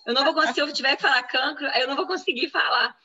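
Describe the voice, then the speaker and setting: A woman's voice: sits high, rapid-fire, lo-fi sound, over a noisy crowd. A woman in her thirties with a Brazilian Portuguese accent from Minas Gerais, answering interview questions in a chatty way with frequent "né?" tags. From Venezuela, raised in Brazil. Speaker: physician guest.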